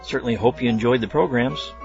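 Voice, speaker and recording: A man's voice: mid-range voice, quick pace, creaky, darkish tone, muffled recording, faint music behind. A man hosting a radio program, addressing listeners informally. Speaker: host of old-time radio show.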